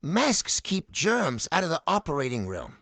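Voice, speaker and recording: A woman's voice: deep voice, huge pitch swings, measured pace, vocal fry, fairly bright tone, no room sound, voice pushed down, full character voice. A woman voicing a timid young boy turtle putting on a brave face in a storybook, in a small, subdued voice. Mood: explaining.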